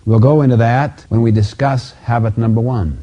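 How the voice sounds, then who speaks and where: A middle-aged man giving a motivational self-help lecture in a didactic, emphatic way with deliberate phrasing. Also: medium pitch, fairly even intonation, mellow. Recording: dull lo-fi audio.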